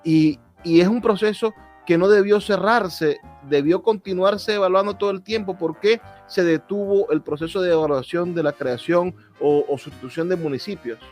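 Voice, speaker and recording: Higher-voiced man hosting over music. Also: moderate pace, hardly any pauses, a little mellow. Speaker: radio interview host. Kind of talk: conversational radio interview. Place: radio programme recording.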